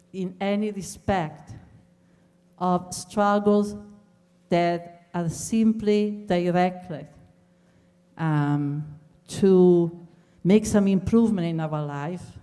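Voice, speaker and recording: A woman closing a lecture, earnest and measured, pausing on fillers. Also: fairly low pitch, dark tone. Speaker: speaker at a talk. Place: large lecture hall. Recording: hall reverb from a distance.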